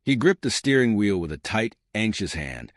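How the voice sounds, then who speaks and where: A man's voice: on the low side, very animated, moderate pace, no room sound, studio quality. A man reading scripted suspense fiction as an audiobook narrator.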